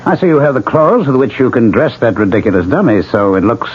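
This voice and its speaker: A man's voice: medium pitch, sing-song, fairly quick, lightly acted. An actor voicing the needling ghost of a dead man, wry and insinuating, in 1970s radio drama style. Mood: sly, coaxing. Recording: muffled, hissy 1970s radio recording.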